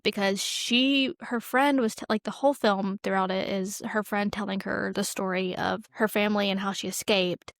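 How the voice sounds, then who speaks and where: A woman's voice: medium pitch, a bit bright, dry recording, studio quality. A woman co-hosting a podcast, talking through films in a casual, conversational way peppered with "like". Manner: informal, conversational, often says like. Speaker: podcast co-host. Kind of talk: casual film discussion chat.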